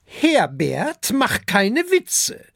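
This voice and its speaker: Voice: medium pitch, wildly swooping pitch, drawn-out words, gravelly, no echo, clean studio sound, voice pushed up, full character voice. A storybook narrator voicing a bossy but soothing female dragon, a mother and wife, in a scolding, no-nonsense manner. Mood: brisk, chiding.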